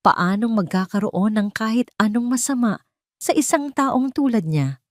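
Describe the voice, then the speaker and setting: A woman narrating a scripted audio drama in a deliberate, dramatic storytelling manner. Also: on the high side, expressive, dry recording, studio quality.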